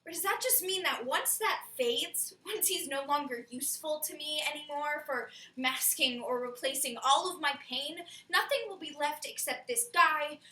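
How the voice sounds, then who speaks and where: A woman's voice: sits high, sharp tone. A woman performing a stage monologue as a troubled young woman who questions love and herself emphatically. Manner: searching, rhetorical, emphatic questioning. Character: troubled young woman agonizing over love and self-doubt. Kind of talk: theatrical dramatic comedy monologue. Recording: echoey room, far from mic.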